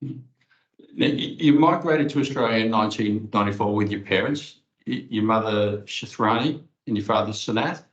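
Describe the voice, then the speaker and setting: A man conducting an interview with prepared questions in a formal, careful, slightly halting way. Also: fairly low pitch. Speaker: interviewer, organisation CEO. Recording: dull, lo-fi audio.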